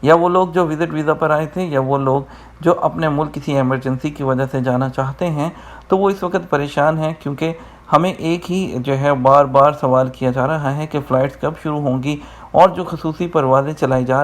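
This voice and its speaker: A man's voice: a little high, moderate pace. A man presents an informal news update, explaining things directly to viewers.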